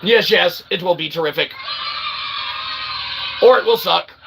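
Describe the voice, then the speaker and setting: A man with a gravelly, fry-tinged voice that sits a little high for a man. He rambles through a self-promoting monologue with sarcastic, self-mocking asides and keeps checking in with "okay?". Steady noise runs under the recording. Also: a little slow. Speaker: independent channel host.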